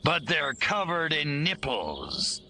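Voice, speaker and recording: Brash, sharp-voiced cocky alien invader. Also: male voice, a little high, huge pitch swings, slow, a little hiss or hum, heavily acted. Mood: disgusted. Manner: sardonic, impatient, slangy swagger. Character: brash, cocky, trigger-happy male alien invader clone. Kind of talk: video game cutscene acting.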